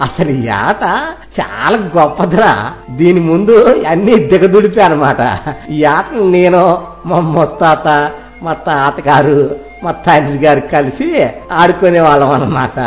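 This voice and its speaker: A man's voice: on the high side, unhurried, soft background music, close to an everyday voice. A man playing a boastful village master in a comic cartoon story, pompous and bossy yet fond, speaking in a Telugu village dialect. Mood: proud explaining. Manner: scolding, pompous, colourful comic threats.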